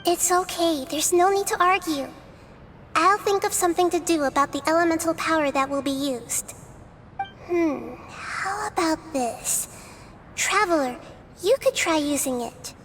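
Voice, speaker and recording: A woman voicing a young, gentle goddess who speaks softly and reassuringly. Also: very high-pitched, measured pace, slight background noise. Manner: soft, kind, reassuring. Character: young gentle female goddess, calm, thoughtful. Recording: game music behind.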